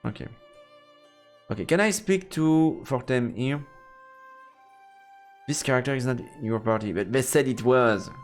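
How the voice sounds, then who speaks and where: A man's voice: mid-range voice, measured pace, pauses often. A man streaming a let's play, playfully commenting and reading game text aloud. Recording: roomy echo with long reverb, game music. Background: game music.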